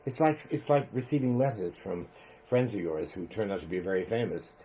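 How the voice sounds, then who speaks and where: A man's voice: fairly low pitch, a little fast, dark tone. An older man conducting a conversational interview in a reflective, discursive way. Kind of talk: conversational oral history interview. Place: photographer's studio, indoors. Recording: noisy archival interview with room ambience.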